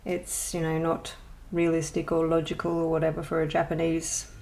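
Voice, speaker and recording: Woman vlogger, lowish voice with vocal fry, hesitant and rambling, self-deprecating. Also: completely flat delivery, moderate pace, a little mellow, slight background noise, rough recording. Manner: rambling and hesitant, many ums and restarts; self-deprecating, chatty asides. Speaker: colouring channel host. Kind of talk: casual show-and-tell vlog.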